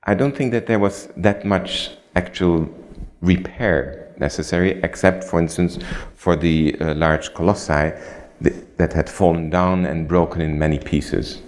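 Low deep guy giving a museum talk, slow careful answers w lots of ums, lectern mic in a dark hall. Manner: measured, with frequent ums and restarts. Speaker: museum lecturer answering audience questions. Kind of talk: lecture Q&A, explanatory. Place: darkened lecture hall.

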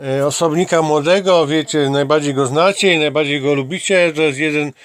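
A man's voice: on the high side, brisk, dry recording. A man hosting a casual homemade interview, talking in a playfully mock-formal way with repeated slangy plugs for his channel. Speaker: amateur channel host interviewing a companion. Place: small home computer room.

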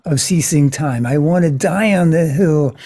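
Improv comedy senator, male performer: slippery schemer, hesitant stammer, soft-edged husky tone. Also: fairly high pitch, unhurried. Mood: evasive, flustered. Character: scheming, evasive male senator pushing an absurd bill.

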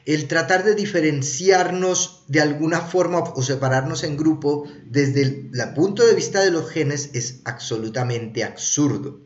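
Male streamer, thirties, fairly high voice, animated casual explainer, slightly dull audio. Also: a little slow, hardly any pauses. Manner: conversational, animated, checks in with rhetorical tags. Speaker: streamer reviewing films and TV. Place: small indoor room. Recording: roomy, echoey, slightly dull sound.

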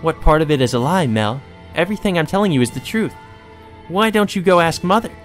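A man plays a deranged teenage sister in a gothic game, pitched high above a normal male voice. She taunts with sweet cruelty, drawing out her coaxing words theatrically over background music. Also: lively intonation, brisk, natural voice. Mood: scornful. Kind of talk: acted reading of a visual novel game.

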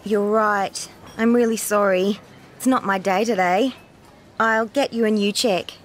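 A woman's voice: mid-range voice, steady tone, unhurried, slight background noise, lightly acted. A woman reading the part of a polite, apologetic waitress in a coursebook dialogue.

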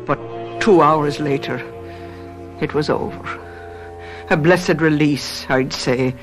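An actress playing a loyal, outspoken housekeeper who narrates earnestly and dramatically in a 1970s radio drama. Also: female voice, sits low, slow pace, music in the background. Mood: grave storytelling. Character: loyal, outspoken housekeeper telling a tragic tale. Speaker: narrator and character.

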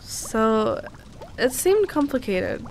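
Slow, soft-spoken cute young alchemist girl, played by a woman. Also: fairly high pitch, wildly swooping pitch, heavy background noise, full character voice. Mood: gentle, caring. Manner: soft, soothing, unhurried, playfully reassuring. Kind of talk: ASMR roleplay.

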